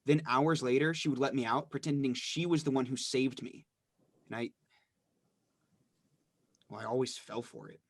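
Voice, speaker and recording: A man's voice: mid-range voice, steady tone, normal speed, long pauses, dry recording, close to an everyday voice. A man playing a withdrawn, subdued teenage brother in a dramatized script reading, speaking hesitantly. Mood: reflective.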